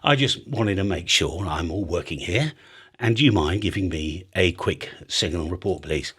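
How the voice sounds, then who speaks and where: Male host, British accent, informal and talkative, swinging pitch, explains by acting out little exchanges. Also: normal speed, breathy edge. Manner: chatty, animated, acts out example exchanges. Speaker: ham radio video host. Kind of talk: casual explainer chat.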